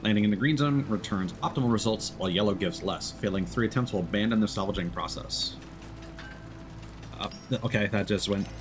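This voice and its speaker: A man's voice: on the low side, fairly quick, background noise. A man chatting casually as he livestreams a game, drifting between his own thoughts and greetings to viewers. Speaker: livestreamer, VTuber. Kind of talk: casual livestream chat over gameplay.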